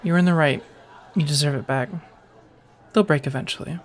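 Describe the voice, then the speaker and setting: A woman's voice: sits low, moderate pace, natural voice. A woman playing a friendly, teasing firefighter on a date in an intimate, casual ASMR romance roleplay, chatty and reassuring. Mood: supportive, firm. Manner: chatty, playfully wry, reassuring, sprinkled with soft laughs.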